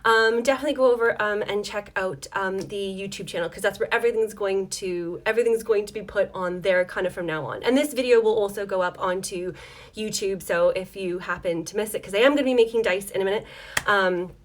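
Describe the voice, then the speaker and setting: A woman's voice: mid-range voice, brisk. A woman hosting a casual livestream tutorial from her home desk, chatting in a rambling, apologetic way with many ums while losing her voice. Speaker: dice maker hosting a live tutorial.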